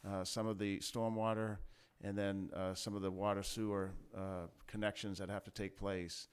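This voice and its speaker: A man from city staff briefing a city council, measured and full of small pauses and restarts. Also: fairly low pitch, level tone, clean tone. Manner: measured, many fillers and restarts. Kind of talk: formal briefing. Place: council chamber.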